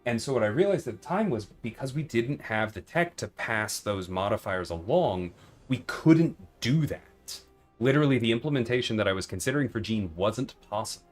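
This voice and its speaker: A man's voice: medium pitch, animated, moderate pace, soft background music. A man hosting a livestream, talking casually and explaining things in a conversational way, often checking in with a "right?". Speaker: livestream host and game developer.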